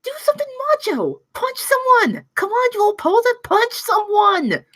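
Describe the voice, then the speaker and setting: A woman voicing a bossy magical floating head that eggs on a fight, in an animated comedy table read. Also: extremely high pitch, moderate pace, exaggerated voice. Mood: frantic goading.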